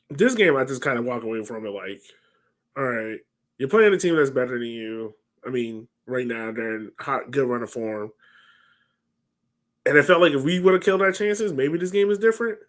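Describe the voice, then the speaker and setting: Brief: male podcast co-host, thirties, middle-range pitch, casual sports talk on a call. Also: lively intonation, normal speed, long pauses, fairly bright tone. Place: home room, on a video call.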